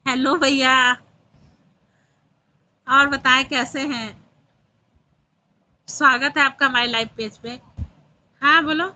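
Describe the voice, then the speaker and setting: A woman's voice: high voice, fairly even intonation, slow, long pauses, bright, forceful tone, low-quality recording. A woman hosting a casual live stream, chatting with viewers and reading out their comments.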